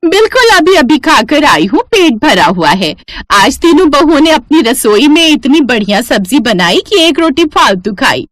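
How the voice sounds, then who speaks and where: A woman's voice: high voice, sing-song, normal speed, a bit bright, dry recording, clean studio sound, pitched above the performer's own voice. A woman voicing a chatty, boastful neighbour lady in a cartoon family story. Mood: pleased, boastful. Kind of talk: Hindi moral-story cartoon voice acting.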